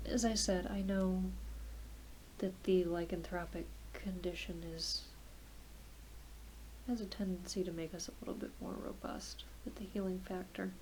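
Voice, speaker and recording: A woman voicing a gentle, reassuring doctor in a soft, mostly whispered ASMR medical roleplay, murmuring encouragement. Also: medium pitch, background noise, close to an everyday voice. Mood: conversational, attentive. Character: gentle, reassuring werewolf doctor giving an exam. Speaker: ASMR performer.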